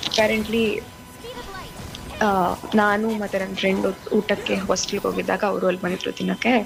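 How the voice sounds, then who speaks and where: A woman's voice: mid-range voice, little pitch movement, very fast, gravelly, music in the background, rough recording. A woman in her twenties with a Kannada-accented Indian voice, chatting casually and telling stories in a rambling way. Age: 20s. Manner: rambling storytelling. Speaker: medical intern chatting on a game stream. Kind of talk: casual bilingual chat.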